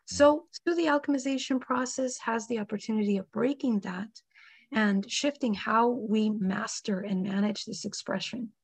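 A woman, hoarse from a cold, speaking slowly and deliberately with many pauses in a spiritual panel discussion. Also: on the high side, no room sound. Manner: slow, measured, many ums. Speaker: panel guest.

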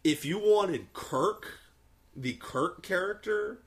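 A man's voice: sits high, animated, slow pace, a bit bright. A man hosting a casual, profane film discussion, telling things with enthusiastic emphasis. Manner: enthusiastic, emphatic storytelling with acted-out quotes. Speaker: host of a film review discussion. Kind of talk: casual, profane fan chat.

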